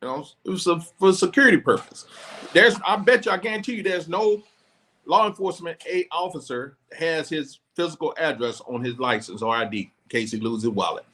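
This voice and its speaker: Relaxed, rambling man, fairly high voice. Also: a little fast. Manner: relaxed, rambling explainer with many restarts. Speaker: podcast host; former law enforcement officer. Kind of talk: casual chat.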